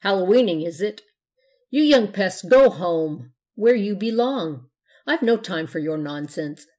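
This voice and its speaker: A woman's voice: mid-range voice, expressive, measured pace. Woman playing a scowling, scolding grump of a man. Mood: scornful, suspicious. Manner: snorting, scolding, yapping delivery. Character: grumpy, suspicious, scornful man who begrudges trick-or-treaters. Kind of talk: storybook character voice in narration.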